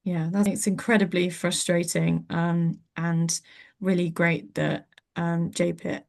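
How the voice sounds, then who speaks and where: A woman's voice: fairly low pitch, little pitch movement, slow pace, vocal fry, a little mellow. A young woman, a communications intern, hosting an interview with brief, friendly questions.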